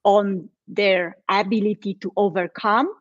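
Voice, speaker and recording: A woman's voice: mid-range voice, fairly even intonation, unhurried, no room sound, highs cut off. A woman from Poland with a Polish accent, a coach who tells her own story earnestly and with feeling in a podcast chat. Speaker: guest, change and transition coach.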